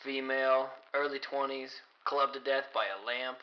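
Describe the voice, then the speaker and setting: A man playing a gruff, impatient police detective in a 1930s-style radio mystery, speaking in a terse, matter-of-fact way. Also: mid-range voice, fairly even intonation, moderate pace, lightly acted. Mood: curt, businesslike. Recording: dull lo-fi recording.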